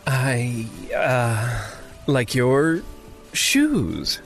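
An anxious, self-conscious young man in an audio drama. His speech is halting and slow, jumping around in pitch, with music behind him. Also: animated. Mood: flustered. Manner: awkward, flustered, halting. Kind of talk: fiction podcast acting.

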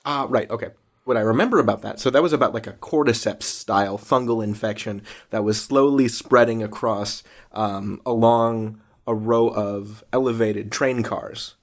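A man's voice: fairly low pitch, moderate pace. A man hosting a casual interview, talking in an enthusiastic and vividly descriptive way. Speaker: interviewer, show host.

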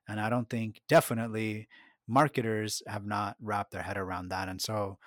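A man's voice: on the low side, flat delivery, normal speed, no room sound, studio quality. A middle-aged man, a market researcher and podcast guest, giving a thoughtful conversational answer full of fillers and restarts. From United States.

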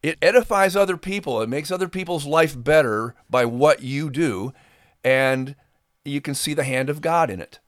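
A man's voice: a little high, moderate pace, no room sound, studio quality. A middle-aged man hosting a podcast, speaking to listeners in an earnest, folksy, conversational way. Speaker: podcast host and ministry performer.

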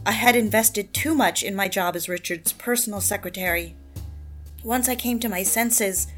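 A woman's voice: medium pitch, a bit bright, close to an everyday voice. A woman playing a hurt, defiant young personal secretary in an audio drama, earnest and emotional, also narrating her own story.

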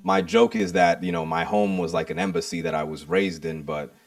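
A man's voice: deep voice, huge pitch swings, fairly quick, creaky, no echo, far from the mic. A man guesting on a podcast, talking reflectively and explaining himself with metaphors, often checking in with "right?". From United States. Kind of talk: casual interview conversation.

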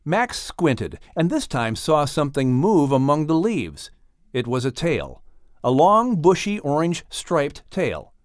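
Expressive male storybook narrator, animated pitch. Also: a little slow, no echo, clean studio sound. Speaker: audiobook narrator.

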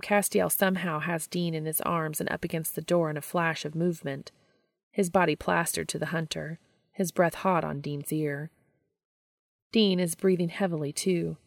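A woman's voice: low-pitched, creaky, dry recording, studio quality. A woman reading fan fiction aloud as scripted story narration.

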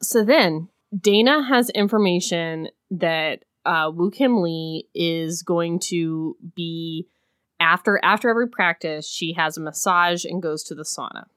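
A woman in a casual chat about a book, talking loosely with frequent laughs and sharp criticism. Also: fairly low pitch, unhurried, smooth, dry recording, studio quality. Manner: chatty, laughs often, critical. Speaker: podcast co-host.

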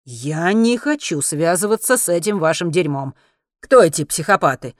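A woman voicing an irritable, grudging man with a gruff, snappish manner in an audiobook. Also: fairly low pitch, expressive, normal speed, dry recording, clean studio sound, lightly acted. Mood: disgusted, baffled. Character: irritable, foul-mouthed man, exasperated and grudging. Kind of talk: acted audiobook dialogue.

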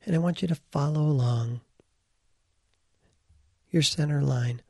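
A man leading a guided meditation as its teacher, speaking in short, deliberate phrases with pauses and a gravelly, husky voice. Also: on the high side, mellow, no room sound, studio quality.

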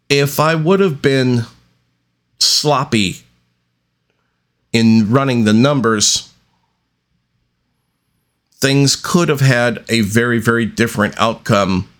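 A man hosting a podcast alone, talking off the cuff in a deliberate, halting way with long pauses between phrases. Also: mid-range voice, fairly bright tone. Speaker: podcast host. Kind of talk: unscripted solo podcast monologue.

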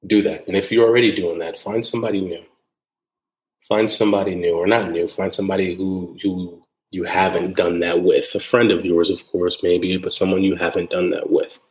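A man speaks in a deep, level voice with little rise and fall, hosting his own podcast. He talks earnestly, circling back over his phrases and tagging them with "right?". The room around him sounds echoey. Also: flat delivery, normal speed. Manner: earnest, repeats phrases for emphasis; frequent tag "right?". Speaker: podcast host. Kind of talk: solo podcast monologue.

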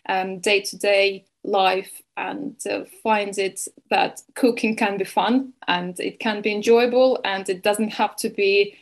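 A woman from Estonia, a young nutrition coach, speaks slowly over a video call. She hesitates often, filling gaps with ums and starting sentences over. Her pitch sits in the middle of the range. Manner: hesitant, many fillers and restarts. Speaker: keto coach and nutrition advisor. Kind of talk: webinar interview.

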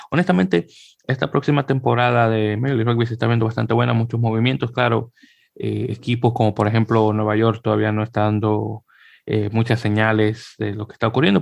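Gravelly low-voiced man, hesitant podcast host. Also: darkish tone, no room sound. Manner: hesitant, many fillers and restarts. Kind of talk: casual sports news chat.

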